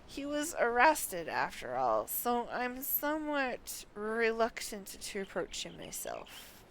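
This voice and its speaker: A curious, slightly self-important female scholar from a game, as a woman reads her aloud. She is polite and formal, speaking slowly in a higher voice and sometimes halting. There is clear noise in the recording. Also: big pitch swings, heavily acted. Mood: measured, curious. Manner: formal, deliberate, halting over odd words. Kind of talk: game quest dialogue read in character. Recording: clearly noisy background.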